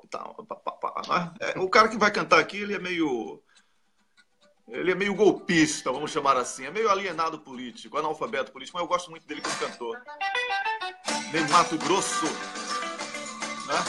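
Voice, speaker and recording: A man's voice: a little high, wildly swooping pitch, brisk, gravelly, loud music behind the voice. A man hosting a casual political talk show in an informal, joking, conversational way. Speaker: host.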